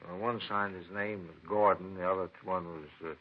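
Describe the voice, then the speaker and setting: Actor as a low-voiced, self-assured hotel manager. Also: male voice, fairly even intonation, normal speed, natural voice. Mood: earnest, helpful. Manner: hesitant starts, emphatic, colloquial. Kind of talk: 1950s radio drama acting. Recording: muffled vintage radio recording.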